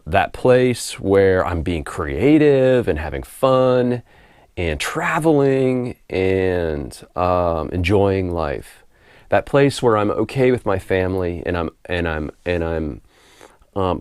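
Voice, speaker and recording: A man with a very low voice, roughened and hoarse from a recent cold, speaks in a loose, unscripted monologue at home. He drifts through his thoughts, repeating words and chuckling softly. The sound is dry and close, with no room echo. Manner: relaxed, rambling, repeats words while thinking, laughs softly. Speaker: spiritual author and video host. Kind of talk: casual unscripted monologue to camera.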